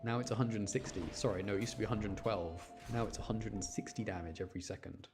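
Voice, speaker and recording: A man's voice: on the low side, steady tone, fast. A man with a British accent giving casual, chatty live gameplay commentary, thinking aloud as he plays. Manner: chatty, informal, thinks aloud while playing. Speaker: game streamer. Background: music behind the voice.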